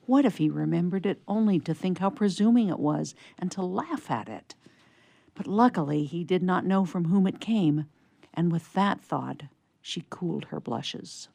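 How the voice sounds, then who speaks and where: A woman narrating a scripted story for radio theatre in a measured storytelling manner. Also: on the low side, gravelly, dark tone, no room sound.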